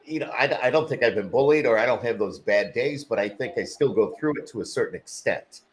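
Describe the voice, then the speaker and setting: A man hosting a podcast interview, speaking slowly and rambling, with lots of small encouraging replies. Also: medium pitch. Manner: slow, rambling, with many backchannels. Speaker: podcast host. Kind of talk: casual interview conversation.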